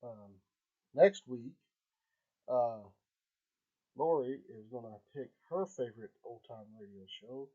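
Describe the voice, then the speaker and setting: A man's voice: medium pitch, slow, pauses often, dark tone, breathy edge. A man casually closing a show as host, in a hesitant way that trails off. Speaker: podcast host. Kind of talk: casual outro. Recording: thin, phone-like home recording.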